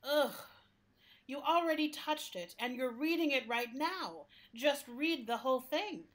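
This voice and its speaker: A woman playing a talking library building in a radio play, gentle but fussy, with a confiding, exasperated manner. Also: sits high, lively intonation, unhurried. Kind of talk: radio play acting in interview form.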